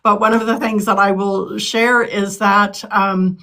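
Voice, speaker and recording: A woman's voice: mid-range voice, flat delivery, unhurried, bright, forceful tone. A woman in her sixties from California, answering interview questions earnestly, with frequent ums and restarts. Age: 60s. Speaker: political candidate.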